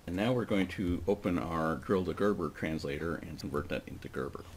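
A man with a deep voice and a noticeable creak narrates a software tutorial. He explains each step plainly and informally, as if thinking aloud while he works. There is some background noise and keyboard typing in the recording. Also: a little fast. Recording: clearly noisy desk recording with typing.

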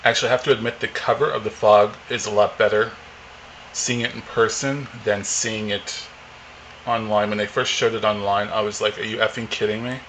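Man in his 40s, low flat voice, rambling, faint hiss, home camera mic. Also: a little fast, poor audio. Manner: rambling, many fillers and restarts. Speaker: vlogger. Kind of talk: casual unboxing chat. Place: home living room, indoors.

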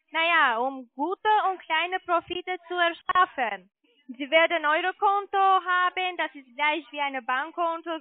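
A woman speaking non-native German as a call centre agent, making a halting phone sales pitch, often searching for words. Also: dry recording. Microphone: telephone. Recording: muffled narrowband phone line.

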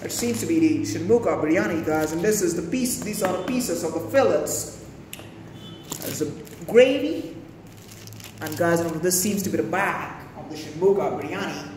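A man's voice: fairly high pitch, animated, moderate pace, darkish tone. A young man with an Indian accent vlogging casually in a rambling, wordy, grandiloquent way, often addressing his viewers. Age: young adult. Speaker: food vlogger. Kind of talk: casual vlog monologue. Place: small bare indoor room. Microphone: phone camera, held or propped at a distance. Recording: very echoey room, distant phone, faint noise.

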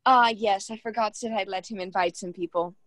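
A woman's voice: medium pitch, steady tone, a little fast, fairly bright tone, dry recording, studio quality, natural voice. A woman acting a snide, dismissive wealthy hostess in a mystery radio play. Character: haughty, sharp-tongued wealthy young hostess.

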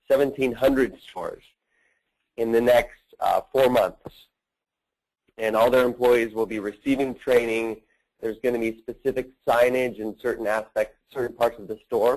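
Man presenting a halting product demo. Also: on the low side, darkish tone, rough recording. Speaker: company representative presenting a demo. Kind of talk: conference call product demo.

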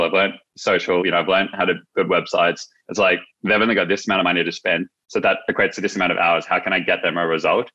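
Young Australian man, very low voice, bright forceful tone, casual interview storytelling. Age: young adult. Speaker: interview guest, marketing agency founder. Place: indoors, small office room, on a video call. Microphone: video call, no headset seen. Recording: slightly dull video-call audio.

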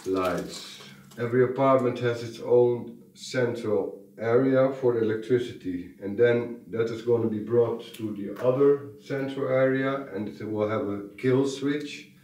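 Slow-talking man in an echoey room. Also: medium pitch, a little mellow. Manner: slow, thinking aloud with fillers and restarts. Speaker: vlogger renovating a farmhouse. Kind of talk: casual project update vlog. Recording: roomy echo, mic at a distance.

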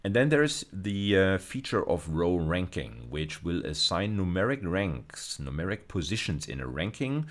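A middle-aged man speaks in a low register. He lectures like a university teacher on video, explaining step by step. His phrasing is measured and emphatic, punctuated by brief checking tags. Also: big pitch swings. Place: indoors, recorded lecture over slides.

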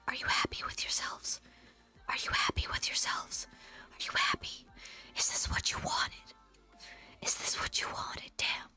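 A woman streaming a game, whispering ASMR-style while dramatically complaining about her suffering. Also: bright, forceful tone. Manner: dramatically complaining, self-pitying. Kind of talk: live gameplay stream commentary.

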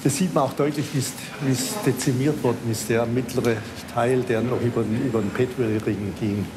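Older man chatting over loud background noise. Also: medium pitch, fairly even intonation, normal speed. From Ludwigsburg area, Germany. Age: older, 70s or 80s. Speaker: architect in a joint eyewitness interview. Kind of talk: casual conversation, interjecting. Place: large office archive room, indoors. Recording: loud room ambience noise.

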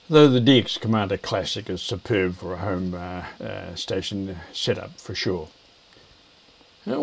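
An older man, explaining things to camera in a measured, hesitant way. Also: fairly low pitch, darkish tone. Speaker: video presenter, ham radio operator.

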